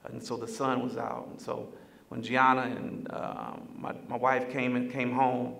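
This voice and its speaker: A man's voice: medium pitch, little pitch movement, unhurried, creaky, a bit bright. A man in his forties speaking at a panel, telling a family story earnestly with frequent ums and uhs. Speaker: panelist, school district director. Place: large auditorium stage. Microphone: table microphone on stand. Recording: echoey auditorium.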